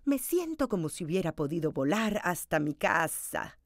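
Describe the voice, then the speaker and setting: An imaginative, sensitive young schoolgirl from a storybook, voiced by a woman reading aloud. She speaks earnestly, with lively rises and falls in pitch. The sound is close and dry. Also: moderate pace, no echo. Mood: elated.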